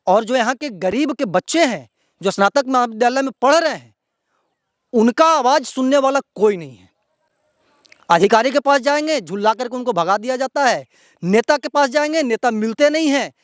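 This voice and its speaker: Very high-pitched Bihari man, indignant. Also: dry recording, studio quality. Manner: indignant, emphatic, repetitive. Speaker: local news presenter. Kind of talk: outspoken news commentary to viewers.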